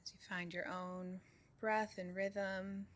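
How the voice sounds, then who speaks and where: Slow, even-toned woman guiding meditation. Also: medium pitch. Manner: calm, soothing, unhurried with long pauses. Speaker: meditation group leader. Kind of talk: guided meditation, soft spoken instruction.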